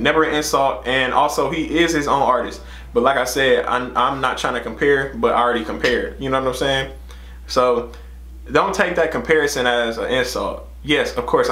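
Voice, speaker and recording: A man's voice: medium pitch, fairly bright tone, reverberant. A man in his twenties with an American accent chatting casually as a reaction host, slangy and often repeating his phrases. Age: 20s. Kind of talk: casual music reaction chat. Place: small room indoors.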